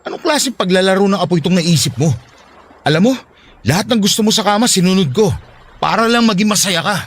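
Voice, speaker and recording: A man's voice: sits high, lively intonation, fast talker, a bit bright. An actor with a Filipino accent playing a devoted but reluctant husband, earnest as he talks with his wife in a radio drama. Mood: protesting, exasperated. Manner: protesting, earnest.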